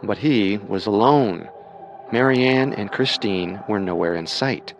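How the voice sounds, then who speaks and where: A man narrating a scripted true-crime story with measured, dramatic storytelling. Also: on the low side, a little hiss or hum.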